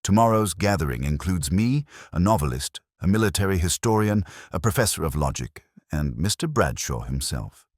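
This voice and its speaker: A man voicing a grave, haunted gentleman seer in an audiobook, formal and quietly earnest. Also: low-pitched, sing-song, moderate pace, few pauses, dry recording, studio quality.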